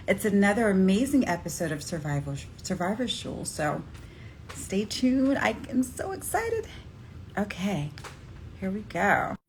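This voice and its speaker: A woman's voice: fairly high pitch, a little hiss or hum. A woman hosting a live online interview show, bubbly and effusive as she welcomes her guest. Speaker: host.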